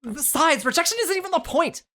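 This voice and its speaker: Prim flustered teenage girl, very high and jumpy voice, as a narrator puts her on. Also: animated, a little fast, bright, forceful tone.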